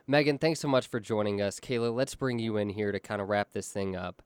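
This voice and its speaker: A man's voice: lowish voice, a little fast, clear, resonant tone, no echo. Polished male interviewer, dry sound. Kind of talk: scripted interview questions.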